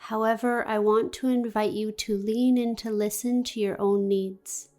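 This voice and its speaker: A woman guiding a meditation in a gentle, deliberate, soothing manner with long pauses. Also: on the high side, little pitch movement, soft background music. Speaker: yoga nidra meditation guide. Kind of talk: guided meditation, scripted narration.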